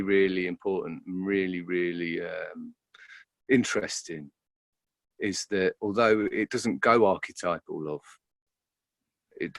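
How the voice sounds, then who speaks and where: A man, an actor being interviewed, talking reflectively and casually in a halting way, often repeating words as he thinks. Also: low-pitched, a bit bright, no room sound.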